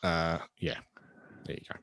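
A man's voice: measured pace, a bit bright, no room sound. A man co-hosting a podcast in casual chat, speaking hesitantly and often stammering over his words. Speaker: podcast co-host.

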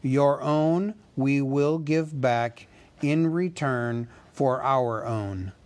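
A man voicing a grave, steady leader who bargains calmly and firmly, in a storybook reading. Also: medium pitch, extremely slow. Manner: calm, firm.

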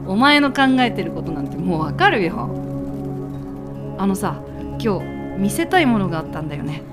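A woman playing a casual, affectionate young man sweet-talking a girlfriend in rough boyish phrasing, in an amateur romantic radio drama. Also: mid-range voice, big pitch swings, normal speed, over loud music, voice pushed down, natural voice. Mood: tender.

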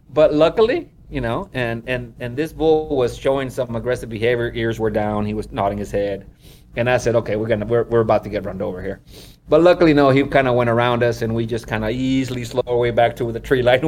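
A man's voice: medium pitch, darkish tone, slight background noise. A man from Honduras who works as a wildlife photographer, telling stories in a casual interview. He rambles, leans on "you know" and laughs often.

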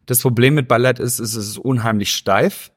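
A man from Stuttgart with a Swabian accent, chatting easily as an interview guest and often pausing with hesitations. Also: medium pitch, slightly rough. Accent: Swabian German. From Stuttgart, Germany. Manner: easygoing, rambling, many hesitations. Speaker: interview guest, dancer and choreographer. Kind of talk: casual interview chat.

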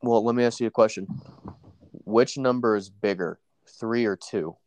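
A man's voice: on the low side, moderate pace, darkish tone, low-quality recording. Stuffy-nosed laid-back young man. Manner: laid-back, says "dude" and "bro" a lot. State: stuffy from allergies. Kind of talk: casual sports chat.

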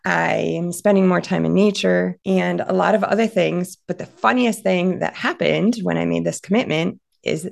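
A woman in her thirties who hosts a podcast, talking in an earnest, upbeat motivational monologue while hoarse and raspy from being sick. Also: on the low side, moderate pace, few pauses. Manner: earnest, upbeat, lots of fillers. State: hoarse from illness. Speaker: podcast host.

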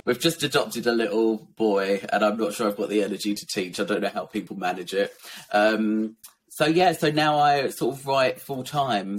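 A man from Essex with a soft, gentle voice, a little croaky and tired, talking candidly and reflectively as a guest, with many ums and soft laughs. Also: on the low side, slight background noise. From Essex, England. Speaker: guest, children's author. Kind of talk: casual interview talk.

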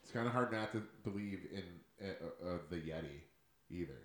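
A man's voice: deep voice, animated, fairly quick. Laid-back, swearing man in a roomy space. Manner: laid-back, swears a lot, laughs often. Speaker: podcast co-host. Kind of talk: casual chat.

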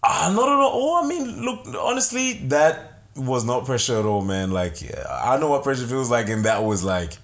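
A man's voice: medium pitch, moderate pace. A young man from Zimbabwe, a podcast guest telling stories in a casual, laughing way. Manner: animated, laughing storyteller. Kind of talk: casual chat.

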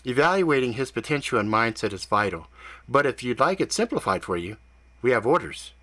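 A man voicing a calm telepathic woman guide who speaks softly and soothingly, with a touch of dry teasing. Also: medium pitch, fairly quick.

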